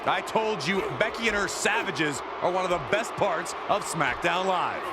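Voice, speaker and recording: A man playing an opinionated, excitable wrestling commentator in a video game, calling the action dramatically. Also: high voice, normal speed, heavy background noise. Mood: excited.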